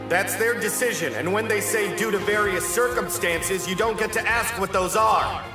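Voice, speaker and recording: A man's voice: high voice, moderate pace, echoey room, music in the background, natural voice. An actor playing a young male teacher in an English anime dub, theatrical, sarcastic and defiant. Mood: defiant, rousing. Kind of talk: animated anime dub acting.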